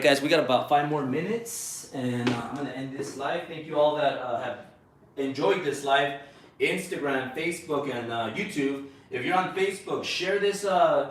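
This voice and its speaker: Brief: man, Mexican accent, slightly high voice, blunt and boastful livestream host, echoey room, off-mic. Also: far from the mic. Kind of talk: casual livestream pitch. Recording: roomy echo, away from the mic.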